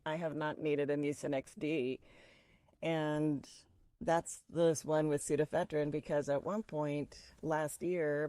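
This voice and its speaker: A woman's voice: low-pitched, dark tone, clean tone, no echo. A woman chatting casually in a rambling, upbeat vlog, talking outdoors. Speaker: vlogger.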